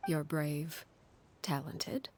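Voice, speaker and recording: An actress playing a cold, composed woman who leads a crime family, speaking in measured, breathy, solemn tones in a video game. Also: low voice, flat delivery, darkish tone, no room sound. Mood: calm, approving. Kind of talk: dramatic video game dialogue. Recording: music behind the voice.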